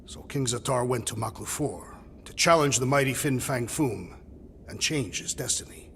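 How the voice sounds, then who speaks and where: A solemn alien warrior, acted by a man for a video game, speaks in formal, literal sentences like a keeper of old tales. The voice has a gravelly edge and sits in long reverb over clear background noise. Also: medium pitch, moderate pace, fairly bright tone, natural voice. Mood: earnest, dignified. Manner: grave, formal, storytelling delivery without contractions. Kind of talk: dramatic video game cutscene acting.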